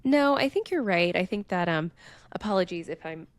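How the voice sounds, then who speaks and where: A woman's voice: lowish voice, expressive, normal speed, dry recording. A woman co-hosting a podcast in casual chat, a bit stuffy from a cold, often acting out little quotes. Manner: chatty, acts out quotes. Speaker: podcast co-host.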